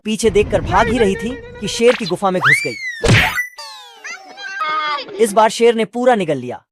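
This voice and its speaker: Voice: medium pitch, wildly swooping pitch, moderate pace, over loud music. A narrator telling comic scripted stories over cartoon clips in a crude, slangy way.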